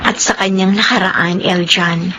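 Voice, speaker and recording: A woman's voice: on the low side, steady tone, measured pace, noisy background, natural voice. An actress playing a spirited, outspoken young working woman in a radio drama. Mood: shy, amused.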